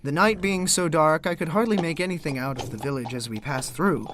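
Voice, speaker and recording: An actor playing a prim, easily flustered young gentleman who narrates his own story theatrically, polite and incredulous. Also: on the high side, fairly quick, close to an everyday voice. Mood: narrating, wry. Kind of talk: theatrical first-person narration and dialogue.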